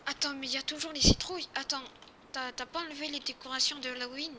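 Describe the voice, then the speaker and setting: A woman with a high and extremely bright voice plays along in an improvised game roleplay. Her talk is informal and hesitant, padded with fillers. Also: fairly even intonation, fast, a little hiss or hum. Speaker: player in a Fortnite zombie roleplay. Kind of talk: improvised roleplay chat while playing a video game.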